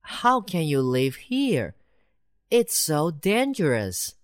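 A woman voicing a small, anxious country mouse in a children's storybook, squeaky and slow. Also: sing-song, dry recording, clean studio sound, full character voice. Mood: calm.

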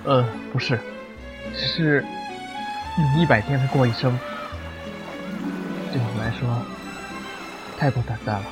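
A man acts out a bewildered stranger in his thirties for a radio drama. He speaks earnestly and hesitantly at a middling pitch, with music clearly playing underneath. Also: measured pace, pauses often, natural voice. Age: 30s. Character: bewildered thirty-year-old male lawyer, a stranger from another world. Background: background music.

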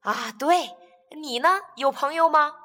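A woman's voice: high voice, unhurried, fairly bright tone. A woman voicing a big male T. rex bully in a children's audio story, cackling when taunting and stammering when unsure. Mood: timid. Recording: reverberant room with music underneath.

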